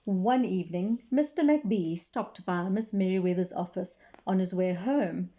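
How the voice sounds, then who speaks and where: Mellow woman reading a children's storybook. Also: mid-range voice, a little slow, highs cut off. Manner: explains and asks questions to young listeners. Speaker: read-aloud storyteller for children. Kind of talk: expressive children's storybook narration with asides.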